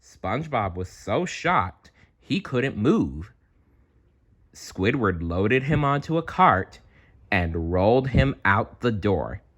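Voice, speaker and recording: A man reading a children's storybook aloud in an expressive, playful way, with chatty asides. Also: fairly low pitch. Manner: animated, unhurried, playful.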